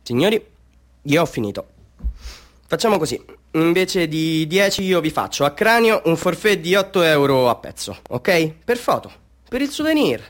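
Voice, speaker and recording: An actor playing a chatty small-town Bolivian street photographer who hustles tourists with stories, in a radio theatre monologue, theatrical and conspiratorial. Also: male voice, a little high, big pitch swings. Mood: brisk salesmanship. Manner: conspiratorial, salesman-like, theatrical, halting asides to listeners.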